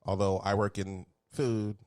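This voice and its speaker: Laid-back low-voiced podcast host. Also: male voice, level tone, measured pace, a little mellow, dry recording, studio quality. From Houston, Texas. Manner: laid-back, jokey, laughs often. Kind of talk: casual chat.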